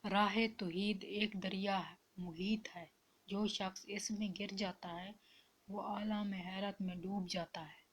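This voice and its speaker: A woman reading spiritual quotes as scripted narration, in a measured and deliberate manner. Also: mid-range voice, steady tone, slightly rough.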